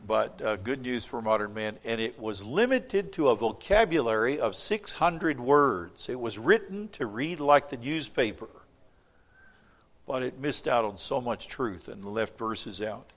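Guy preaching hard, presses his points, reads scripture out slow and steady. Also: mid-range voice. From from outside Australia. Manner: emphatic, repeats words when stressing a point; reads scripture aloud in measured tones. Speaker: pastor. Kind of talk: sermon.

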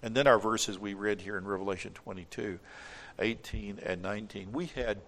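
Male pastor, emphatic sermon delivery, measured scripture reading. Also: lowish voice, steady tone, a bit bright. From from outside Australia. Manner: emphatic, repeats words when stressing a point; reads scripture aloud in measured tones.

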